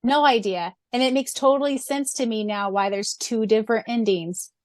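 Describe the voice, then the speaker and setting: A woman's voice: on the high side, normal speed, no echo. A woman co-hosting a casual fan podcast who reads listener questions aloud and laughs often. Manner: giggly, laughs often between remarks. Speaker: podcast co-host.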